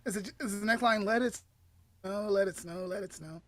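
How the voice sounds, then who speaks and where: A woman's voice: mid-range voice, normal speed. A woman co-hosting a podcast, talking in an informal, chatty way during casual banter. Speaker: podcast co-host. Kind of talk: casual chat and song guessing game.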